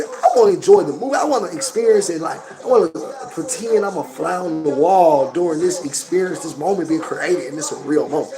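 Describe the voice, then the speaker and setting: A man in his thirties with a Memphis accent and a hoarse, coughing voice, reviewing a movie casually and profanely to his phone camera. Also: sits high, poor audio. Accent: Southern US, Memphis. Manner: profane, animated, talks straight to camera.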